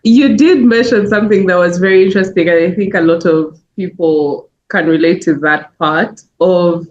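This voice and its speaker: A woman's voice: lowish voice, moderate pace, darkish tone, lo-fi sound, slight background noise. A woman hosting an interview, asking questions in an encouraging way and laughing along. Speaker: interview host. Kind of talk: casual interview.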